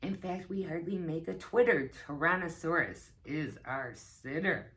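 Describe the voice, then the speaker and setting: A woman with a low voice leads story time for young children. She reads at an unhurried, slow pace and adds simple, instructive explanations. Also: expressive, fairly bright tone. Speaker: teaching assistant reading story time. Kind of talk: children's storybook read-aloud with explanations to kids.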